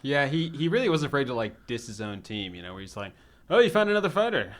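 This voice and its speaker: Animated man bantering about films. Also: medium pitch, quick pace. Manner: sweary, acts out mock quotes. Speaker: podcast co-host. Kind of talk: casual film review banter.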